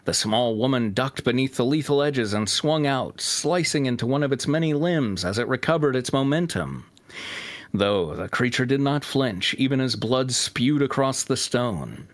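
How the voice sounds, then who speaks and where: A man's voice: mid-range voice, expressive, moderate pace, dry recording. A man reading a fantasy story aloud as its narrator. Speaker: fan fiction reader and narrator. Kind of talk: scripted story narration with joking asides.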